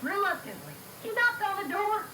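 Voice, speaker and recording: Woman as Applejack, high-voiced storytelling pony, echoey tape. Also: wildly swooping pitch, normal speed, heavily acted. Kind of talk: scripted fan-fiction narration. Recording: echoey, noisy reel-to-reel tape.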